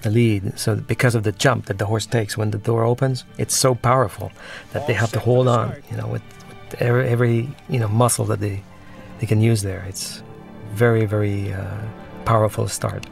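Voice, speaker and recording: Director guy talking over his movie, gravelly low voice, pauses a lot, music underneath. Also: level tone. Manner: halting, searching for words. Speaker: film director. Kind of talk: film commentary.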